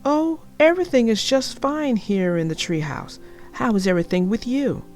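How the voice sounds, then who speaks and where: A woman voicing a gentle, wise mother bear in a storybook, tender and measured. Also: on the high side, lively intonation, faint music behind, close to an everyday voice. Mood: reassuring.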